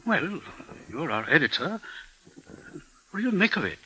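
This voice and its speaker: An actor playing a pompous, sceptical, raspy male boss in a 1950s radio drama. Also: fairly high pitch, big pitch swings, normal speed, pushed and bright, a little hiss or hum, exaggerated voice, rough recording. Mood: sceptical, musing.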